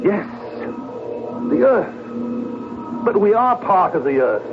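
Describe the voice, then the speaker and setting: An actor playing an anxious intelligence agent in a radio drama, urgent and full of anguished questions. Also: male voice, high voice, sing-song, slow pace, exaggerated voice. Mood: anguished, bewildered. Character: alarmed, questioning male intelligence agent Ivan. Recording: dull, very noisy old radio broadcast.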